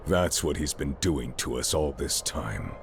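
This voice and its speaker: Extremely deep-voiced, brooding fantasy warrior. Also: male voice, expressive, measured pace, vocal fry, exaggerated voice. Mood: troubled. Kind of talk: dramatic video game acting. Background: cinematic background music.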